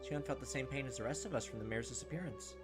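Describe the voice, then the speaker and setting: A man's voice: mid-range voice, fast. Young man narrating a game over music. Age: young adult. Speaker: let's play host. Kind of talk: reading visual novel text aloud with commentary.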